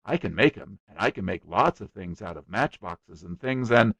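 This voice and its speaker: A man's voice: lowish voice, lively intonation, moderate pace, fairly bright tone, dry recording. A man voicing a stubborn, boastful small boy who chatters eagerly. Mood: eager boasting.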